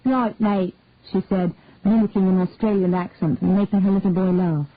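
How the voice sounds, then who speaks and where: A woman reading a short detective story aloud in a measured, deliberate narration. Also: fairly low pitch, little pitch movement, no room sound. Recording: tinny phone-like dry sound.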